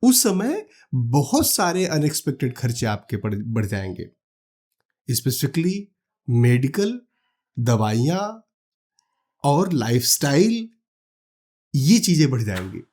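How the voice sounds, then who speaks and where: Man from India, calm, practical, mid pitch, step-by-step finance explainer. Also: slow pace, long pauses. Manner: calm, practical, walks through numbers step by step. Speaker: finance educator. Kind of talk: explanatory talk to camera.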